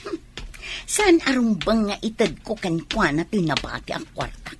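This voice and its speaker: A woman's voice: medium pitch, wildly swooping pitch, a little slow, background noise. A woman playing a scheming, money-minded younger woman in a radio drama, with drawling, exaggerated intonation. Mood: coaxing, sly.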